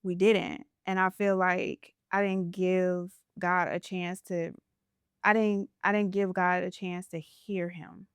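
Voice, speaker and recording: A woman's voice: lowish voice, little pitch movement, slow, clear, resonant tone, no room sound, studio quality. A woman podcast host talking in an earnest, reflective way as she shares a spiritual story in a casual interview.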